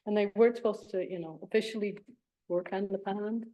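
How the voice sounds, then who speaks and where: Soft-voiced woman presenting at a board meeting. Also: mid-range voice, fairly even intonation, brisk, rough recording. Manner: chatty, hesitant with many ums. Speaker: pond program presenter. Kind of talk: community meeting testimony. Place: town board meeting room.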